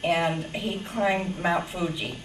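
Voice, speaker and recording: Woman, eighties, from western New York, big voice, slow and lowish, laughs while talking, echoey distant room, party noise. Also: fairly even intonation. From western New York, USA. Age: 80s. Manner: big-voiced, warm storytelling, laughs as she talks. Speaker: honoree giving an anniversary speech. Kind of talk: heartfelt party speech. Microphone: handheld microphone passed around. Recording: echoey room from far off with chatter.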